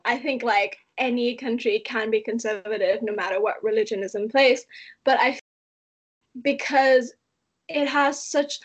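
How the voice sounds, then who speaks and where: A young woman from Malaysia talking casually in an interview, with lots of "like" fillers. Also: fairly high pitch. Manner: chatty, frequent "like" fillers.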